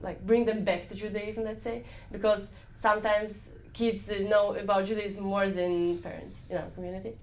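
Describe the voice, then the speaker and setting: A young woman answering interview questions, searching for words with frequent pauses and restarts. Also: medium pitch, level tone, darkish tone. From Israel. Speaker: interviewee, volunteer dance leader.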